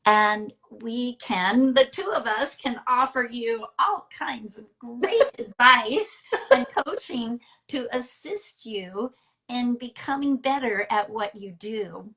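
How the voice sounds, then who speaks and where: A woman's voice: fairly high pitch, takes it slowly, sharp tone, low-quality recording. A woman hosting a live online interview in a conversational style, speaking as a storyteller and speaking coach.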